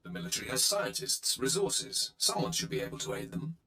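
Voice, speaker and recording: An actor playing a brutal, arrogant Sith warrior in a video game, curt and threatening, in a reverberant recording. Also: male voice, medium pitch, huge pitch swings, brisk, creaky, pushed and bright, heavily acted. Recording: reverberant, echoing voice.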